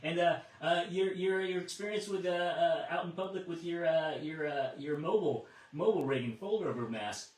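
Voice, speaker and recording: A man acting as net control on a ham radio net, friendly and affirming, speaking casually with halting, repeated words. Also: fairly high pitch. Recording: distant, echoey amateur radio transmission.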